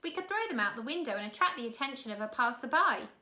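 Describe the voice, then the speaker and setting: A woman's voice: on the high side, animated, quick pace, sharp tone. A woman voicing a worried, resourceful orphan girl in a storybook, earnest, in a roomy space. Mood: earnest, downhearted. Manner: earnest, downhearted. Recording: roomy, echoey room sound.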